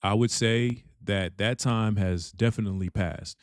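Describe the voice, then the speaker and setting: A man with a deep voice hosting a reaction channel, chatting casually and filling his talk with "you know what I'm saying.". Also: fairly even intonation, measured pace, no room sound, clean studio sound.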